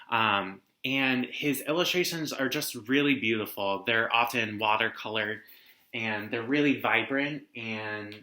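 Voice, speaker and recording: A young man hosts a casual read-aloud for students. His voice is bright and mid-pitched. The sound is roomy, as if recorded in a living room. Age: young adult. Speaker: read-aloud host, teacher. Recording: roomy indoor echo.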